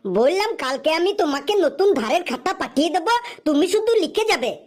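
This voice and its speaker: A woman's voice: sits high, very animated, brisk, darkish tone. A woman voicing a cheeky, smart-mouthed young jobless man in a comic cartoon, with glib, teasing back-talk. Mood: cocky.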